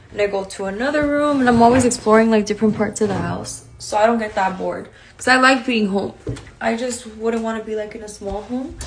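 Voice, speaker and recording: A woman's voice: medium pitch, a little hiss or hum. A woman chatting casually on a live stream, rambling and self-aware. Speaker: streamer answering chat.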